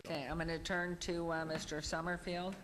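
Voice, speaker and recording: A woman mayor chairing a city council meeting, calling on speakers in a brisk, procedural way. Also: fairly low pitch, flat delivery, background noise.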